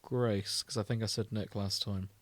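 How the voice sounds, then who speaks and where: A man's voice: on the low side, steady tone, moderate pace, no room sound. A man hosting a podcast in casual, bantering conversation with his co-hosts. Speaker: podcast host.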